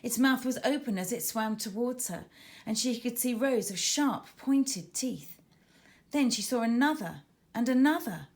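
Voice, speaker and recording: A woman reading a children's book aloud in an expressive, dramatic storytelling manner, as scripted narration for young listeners. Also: a little high. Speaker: author reading her own children's book.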